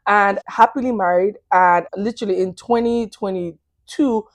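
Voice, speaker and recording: A woman in her thirties with a Nigerian accent shares her story as a podcast guest. She speaks openly and with animation, in a warm mid-range voice. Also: medium pitch, normal speed. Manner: candid, animated, laughs often. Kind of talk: casual testimony.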